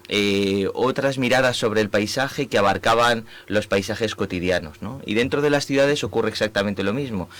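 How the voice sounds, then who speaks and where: A man's voice: fairly low pitch, a bit bright. A man from Spain with a Spanish accent, a professor speaking as a guest in a radio interview, with many fillers and restarts. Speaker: guest, urban planning professor. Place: radio studio.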